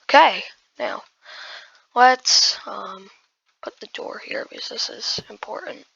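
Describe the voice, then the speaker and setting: A hoarse woman who has just woken up, giving casual gameplay commentary while building and often muttering in half-whispers to herself. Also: medium pitch, huge pitch swings, slow, sharp tone, no room sound, low-quality recording. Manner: muttering half-whispered asides to self, addressing viewers as guys. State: hoarse, losing voice after just waking up. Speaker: gaming video creator.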